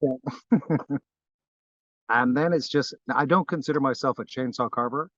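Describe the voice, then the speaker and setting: A man from Barbados speaks as a podcast guest in an unhurried, modest way, often pausing on fillers and restarting his sentences. His voice sits at an ordinary pitch for a man. The sound is dry and a little dull, like a remote call. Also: fairly bright tone, dry recording. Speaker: guest wood carver. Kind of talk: relaxed interview chat.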